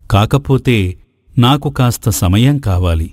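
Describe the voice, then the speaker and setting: A man acts out a greedy ascetic of an old storybook kingdom. He speaks at a middling pitch in formal, courtly phrases. The sound is dry, without any room around it. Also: expressive, takes it slowly, darkish tone, dry recording. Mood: curious, gracious. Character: flattering, greedy male ascetic sage, courtly and self-serving. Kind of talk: dramatised moral folk tale.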